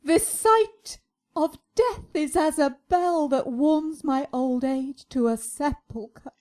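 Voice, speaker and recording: A woman plays an ageing, distraught noblewoman in a Shakespearean radio drama. Her voice is high yet mellow, mourning slowly in verse.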